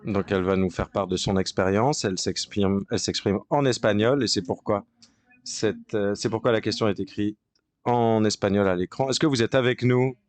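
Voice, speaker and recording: A man working as a conference interpreter, giving a halting simultaneous interpretation of a panel discussion with frequent pauses and restarts. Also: on the low side.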